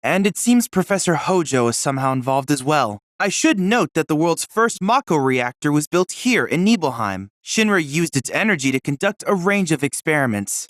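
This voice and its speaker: An actor playing a polite, studious boyish android research assistant in a video game, speaking in a formal, precise, inquisitive manner. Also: male voice, fairly high pitch, dry recording, clean studio sound. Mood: grave, pondering. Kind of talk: scripted video game character dialogue.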